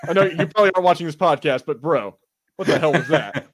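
A man's voice: fairly high pitch, fast. A man co-hosting a podcast, chatting casually about film and TV in a rambling way with frequent swearing. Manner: rambling, profane. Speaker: podcast co-host.

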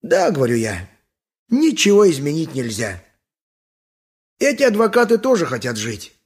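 A man's voice: a little high, wildly swooping pitch, moderate pace, husky, heavily acted. A man acting a poor, harried, submissive donkey driver of ancient Greece in a radio play, speaking dialogue and his own narration. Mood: weary, resigned.

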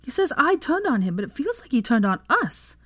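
A woman's voice: sits high, very animated, a little fast, fairly bright tone, voice pushed up, exaggerated voice. A woman voicing a hurt, angry schoolgirl daughter in a story, plaintive and drawing out her words. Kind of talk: dramatised fan fiction reading.

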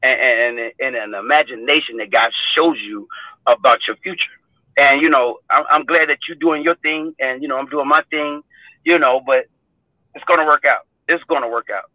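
A hoarse man chatting casually and singing, rambling and repeating words as he talks about God. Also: mid-range voice, moderate pace, no echo. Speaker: guest singer. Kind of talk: casual chat and a cappella singing. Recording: tinny phone line.

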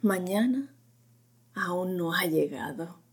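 A woman narrating a guided sleep meditation in a gentle, unhurried, whispery voice, in short phrases with long pauses. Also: on the low side, animated. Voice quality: breathy, whispery, often dropping into whisper.

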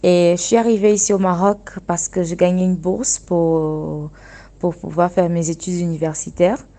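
A young woman from Angola, a native Portuguese speaker, talking earnestly about her work in a radio interview and often pausing to find her words. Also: on the low side, level tone, dark tone. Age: young adult. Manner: earnest, searching for words with restarts. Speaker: guest, dressmaker running her own workshop.

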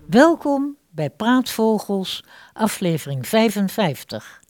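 Slow, expressive woman presenting radio drama. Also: medium pitch, faint music behind. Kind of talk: scripted, theatrical introduction.

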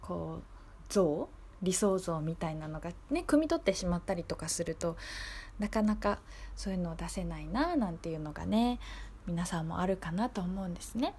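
A woman hosting a radio show, talking casually and reflectively in a gentle, polite, thoughtful way. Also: on the low side, animated, normal speed, a little hiss or hum. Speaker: radio show host and singer-songwriter.

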